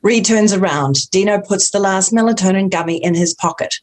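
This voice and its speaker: A woman's voice: mid-range voice, a little fast, a little mellow. A woman reading the stage directions at a script table read.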